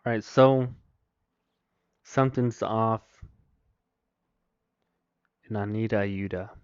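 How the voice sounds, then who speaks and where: Slow-talking man streaming with a Mexican accent. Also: on the low side, steady tone, a little mellow, smooth, no room sound, highs cut off. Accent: Mexican Spanish. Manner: thinks aloud, mutters to himself, reads chat aloud. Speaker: livestreamer. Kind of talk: casual live commentary while installing software.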